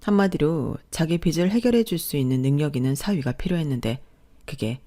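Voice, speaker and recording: A woman's voice: low-pitched, lively intonation, fairly quick, dark tone. A woman narrating a first-person family drama in an indignant, confiding tone. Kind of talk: scripted first-person storytelling.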